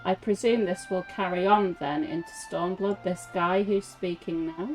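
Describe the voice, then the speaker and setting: Casting: female gamer, chatty and playful, slightly low voice, unhurried, reacting over soft game music. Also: low-quality recording. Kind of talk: casual playthrough commentary.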